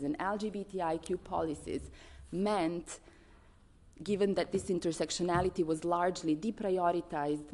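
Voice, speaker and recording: Woman, Serbian accent, low pitch, formal conference speech, advocate, earnest, halting, deliberate emphasis. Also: darkish tone. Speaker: advocacy organisation representative speaking at a conference.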